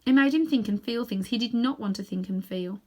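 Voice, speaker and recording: A woman narrating a children's storybook aloud in an expressive reading style. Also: fairly high pitch, a little fast. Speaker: storybook reader.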